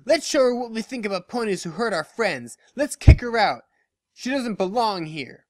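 A narrator voicing a brash, hostile young female pegasus pony, accusatory and forceful, in a story. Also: medium pitch, big pitch swings, moderate pace, no room sound, pitched above the performer's own voice, lightly acted. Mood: angry.

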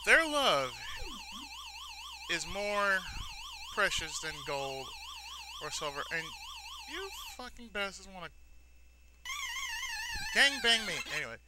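A man's voice: high-pitched, wildly swooping pitch, slow, pauses often. A man giving casual let's-play commentary, rambling sentimentally and repeating his phrases, as host of a gaming video. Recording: noisy, game sounds behind voice. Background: arcade-style video game sounds.

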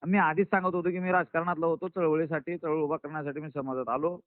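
A man's voice: a little high, fairly even intonation, fast talker, no echo. A man on a phone call, talking in an emphatic, reassuring and persuasive way, defending himself. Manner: emphatic, reassuring, self-justifying. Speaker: political activist who joined the ruling party. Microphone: phone line. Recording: narrowband phone call recording.

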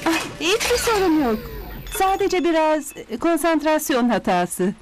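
A woman playing an uneasy fortune-teller in a cartoon dub, speaking dramatically with hesitant pauses. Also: sits high, animated, noisy background. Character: female fortune-teller gazing into a crystal ball, uneasy. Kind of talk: cartoon dubbing.

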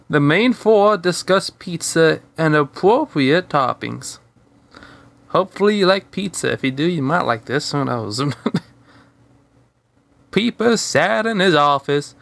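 A man's voice: high voice, vocal fry, fairly bright tone. A man hosting a fan fiction reading, mixing storytelling with casual asides. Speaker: host and fan fiction reader.

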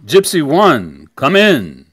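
A man's voice: on the high side, huge pitch swings, takes it slowly, a bit bright, husky, dry recording, studio quality, full character voice. A man playing an urgent, official mission control operator in a science-fiction table read. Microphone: remote audio chat app.

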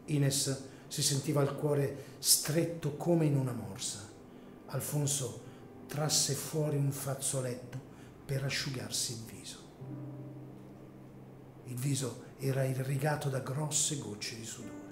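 A man narrating a gothic radio drama in a solemn, theatrical manner with deliberate phrasing. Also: mid-range voice, noisy background. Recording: echoey room, music behind.

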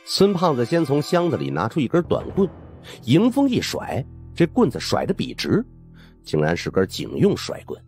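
A man's voice: medium pitch, wildly swooping pitch, moderate pace, a little mellow. A man narrating suspense fiction as an audiobook in a dramatic scripted style. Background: background music.

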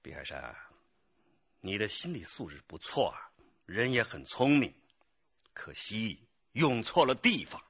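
Forceful, husky young male detective. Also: lowish voice, big pitch swings, measured pace, exaggerated voice. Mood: grave, sympathetic. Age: 20s. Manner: emphatic, lecturing. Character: stern young male detective, righteous, earnest, pressing a suspect. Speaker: police interrogator. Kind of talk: radio drama acting. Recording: dull, muffled lo-fi audio.

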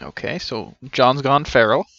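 A man's voice: medium pitch, unhurried, creaky, a bit bright, no echo, muffled recording. A man in his thirties giving casual, wry live commentary on a game, muttering asides. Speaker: game streamer. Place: indoor room at home. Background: game music.

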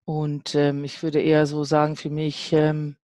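A middle-aged woman reflects in a panel discussion. She speaks very slowly and deliberately, leaving gaps between phrases, with a sceptical manner. The sound is dry, with no room echo. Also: low voice, monotone, darkish tone. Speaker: panel guest, artist.

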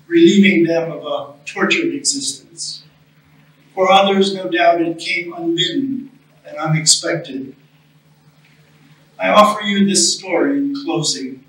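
A middle-aged man speaking as a chaplain at a memorial service, deliberate and solemn, pausing between phrases. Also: a little high, fairly bright tone. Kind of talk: memorial homily, reading a reflective story. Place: small chapel, indoors at a lectern. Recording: echoey room, distant microphone.

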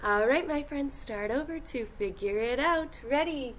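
A young woman speaking as a kindergarten teacher, animated and encouraging, giving a lesson to small children. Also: high-pitched, measured pace, very noisy. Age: young adult. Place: indoor classroom.

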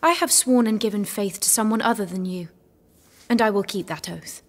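An actress playing a defiant, devout young peasant woman held prisoner, steady and proud, in an audio drama. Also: mid-range voice, moderate pace, natural voice. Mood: proud defiance.

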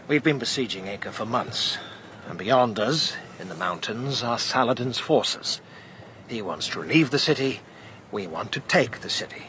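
Sharp-voiced, world-weary knight marshal. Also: male voice, medium pitch, slight background noise. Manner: dry, sardonic, plain-spoken. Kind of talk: historical radio drama acting.

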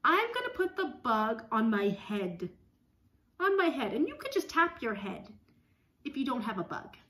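Animated, high-voiced woman teaching young children. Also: normal speed, reverberant. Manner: simple, repetitive, expressive child-directed speech. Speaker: speech-language pathologist leading circle time. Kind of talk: children's songs and read-aloud lesson. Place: small room at home.

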